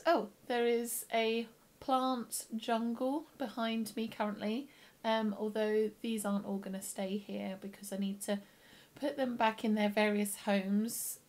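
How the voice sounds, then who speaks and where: A young woman with a croaky, tired voice, chatting casually to camera in her home studio. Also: medium pitch. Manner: chatty, rambling, with soft laughs. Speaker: illustrator vlogger. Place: home studio room with plants.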